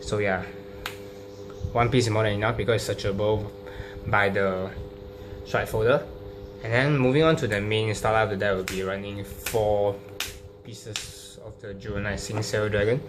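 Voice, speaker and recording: A man casually presenting a card game deck, explaining it unscripted and card by card in a halting, hesitant way. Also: lowish voice. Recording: clearly noisy home recording with hum.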